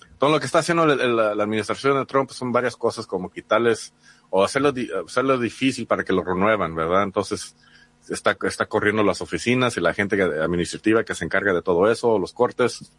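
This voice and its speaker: Hesitant low-voiced man, Mexican accent. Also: a little fast, a bit bright. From United States. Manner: hesitant, many restarts and fillers. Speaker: reporter. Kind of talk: interview answers.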